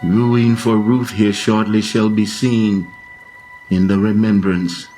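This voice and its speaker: A man playing a grave, philosophical gardener in a Shakespearean radio play, speaking sorrowful verse in a measured, solemn way. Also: on the low side, flat delivery, dark tone, soft background music. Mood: pitying, sorrowful.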